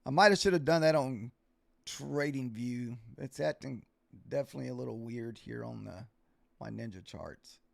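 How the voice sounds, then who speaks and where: A man hosting a casual live trading stream, thinking aloud in an informal, halting way, his voice getting a little hoarse. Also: mid-range voice, no echo. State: voice starting to get hoarse. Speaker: livestreaming futures day trader and host. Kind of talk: casual live trading commentary, answering viewers.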